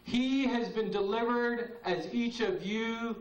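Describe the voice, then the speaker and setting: A man performing spoken-word poetry at an open mic, with a slow, solemn, incantatory delivery and long pauses. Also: very high-pitched, fairly even intonation, highs cut off. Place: open mic night in a cafe. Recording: roomy, distant VHS tape recording.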